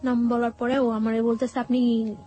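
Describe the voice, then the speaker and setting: A woman with a Bangladeshi accent tells a personal story conversationally, halting and repeating phrases as she goes. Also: fairly high pitch, level tone, mellow. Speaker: guest telling her life story. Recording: music bed behind the voice.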